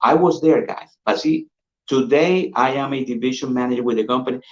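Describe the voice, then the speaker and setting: A man with a Colombian Spanish accent, a sales manager giving an energetic, persuasive pitch and calling his listeners "guys" over and over. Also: fairly quick. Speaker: insurance sales manager presenting. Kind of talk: upbeat sales pitch on a call. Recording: dull roomy lo-fi audio.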